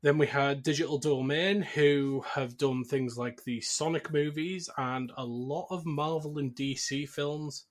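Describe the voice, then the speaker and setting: A man's voice: fairly high pitch, fairly even intonation, a little slow, hardly any pauses. A man hosting a podcast, talking through a film in an informal, wry and casual way. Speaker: podcast host. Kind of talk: casual film discussion and plot recap.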